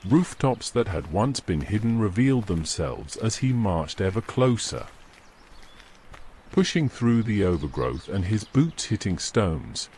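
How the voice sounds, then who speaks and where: A man's voice: low voice, darkish tone, slight background noise. A man reading scripted narration for a fantasy story as its narrator.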